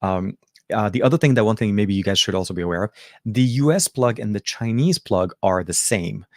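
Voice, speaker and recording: A man's voice: lowish voice, expressive, brisk, darkish tone, no room sound, studio quality. A middle-aged man from Lebanon, his first language Arabic, hosting a tech show from home, chatting casually with viewers and answering comments in a rambling, friendly way with many fillers and restarts. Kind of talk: casual livestream chat reading viewer comments.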